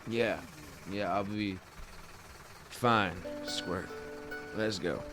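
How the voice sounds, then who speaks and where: A man acting in a radio play as a gruff but kind injured bull rider, talking in a halting, folksy way. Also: on the low side, over music. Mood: gentle, awkward.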